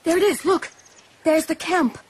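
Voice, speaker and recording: A woman's voice: extremely high pitch, normal speed, a little hiss or hum. A woman playing a feisty, curious young island woman in a radio drama, direct and outspoken. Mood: observant.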